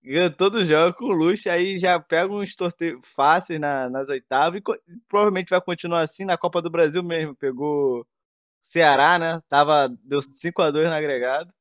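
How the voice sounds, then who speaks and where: A Brazilian man with a somewhat high voice gives football opinions in relaxed, slangy conversation. He sounds like a podcast commentator talking to a friend. The recording is very dry. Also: moderate pace, no echo. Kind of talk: casual football chat.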